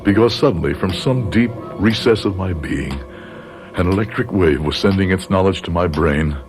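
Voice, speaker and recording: Guy acting a serious middle-aged hypnotist doctor, radio drama, really deep voice, measured, faint music behind. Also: natural voice. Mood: grave, confessional. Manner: measured, probing, deliberate. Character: grave middle-aged male doctor, probing and controlled hypnotist. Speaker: doctor, narrator.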